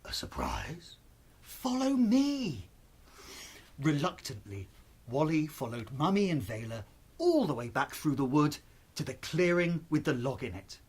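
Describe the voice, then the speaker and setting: A storyteller narrating a children's bedtime tale in an expressive, suspenseful, deliberate way. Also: medium pitch, husky.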